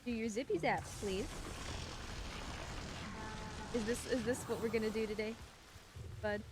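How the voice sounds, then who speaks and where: A woman's voice: a little high, steady tone, measured pace. A woman giving live gameplay commentary as a speedrunner, with playful, coaxing asides to a game character. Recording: clear background game noise.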